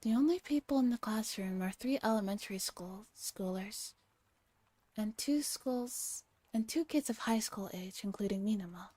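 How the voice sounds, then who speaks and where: A soft-spoken woman streaming a gentle read-aloud in an ASMR style, sometimes slipping into breathy near-whispers. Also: mid-range voice, normal speed, no room sound. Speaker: streamer reading a visual novel aloud.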